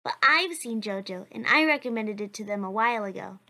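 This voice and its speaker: A woman's voice: medium pitch, expressive, a little fast. A woman voicing a cheerful, earnest child who answers questions for a blog in a comic dub. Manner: bright, chatty, earnest.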